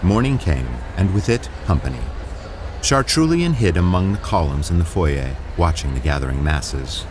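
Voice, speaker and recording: A man's voice: deep voice, big pitch swings, normal speed, background noise. A man playing a solemn storyteller, giving dramatic scripted narration for an audio drama.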